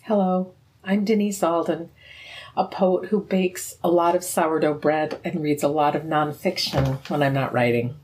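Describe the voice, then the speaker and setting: A woman poet from Minnesota, calmly and earnestly introducing and reading her poem. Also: deep voice, measured pace.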